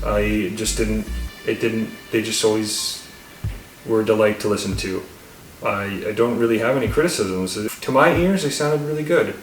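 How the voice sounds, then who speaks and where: A man giving a casual, unscripted gear review as channel host, halting and rambling, often repeating his words. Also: on the low side. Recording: roomy echo, distant mic, faint music.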